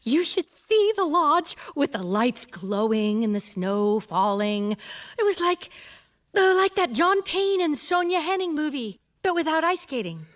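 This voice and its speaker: A woman's voice: high voice, sing-song, unhurried. An actress playing an eager, independent woman, a detective's companion, in a radio mystery drama. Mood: enchanted.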